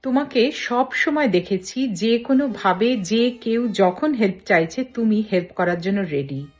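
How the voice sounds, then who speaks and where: A woman's voice: medium pitch, expressive, a little slow, highs cut off. A woman with a Bengali accent, hosting an interview with introductions and questions. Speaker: host, interviewer.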